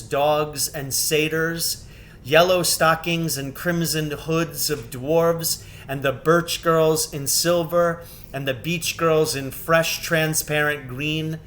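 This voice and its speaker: A man reading a children's novel aloud as scripted narration, with measured, expressive phrasing. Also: on the high side, a little hiss or hum. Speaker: storybook reader.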